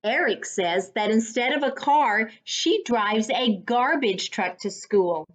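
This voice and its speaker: A woman reading a children's story aloud as a reading coach, with a deliberate, instructive, teacher-like delivery. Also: fairly high pitch, lively intonation. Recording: dull lo-fi sound.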